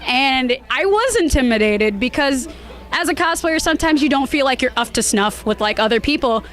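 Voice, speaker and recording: A woman with a high voice speaks casually as a guest in an interview. She is expressive and bubbly, talking in an informal, conversational way about her hobby. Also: normal speed. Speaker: cosplayer being interviewed.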